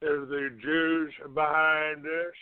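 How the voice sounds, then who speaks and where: A man hosting a call-in show, ranting off the cuff in a halting, accusatory and combative manner. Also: a little high, level tone, clean tone, no room sound. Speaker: internet call-in show host. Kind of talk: unscripted talk show rant. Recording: narrow, tinny phone-like line.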